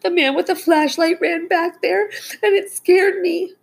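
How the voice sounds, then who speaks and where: Woman as frightened, high-pitched young girl. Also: steady tone, measured pace, gravelly, full character voice. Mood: frightened whimper.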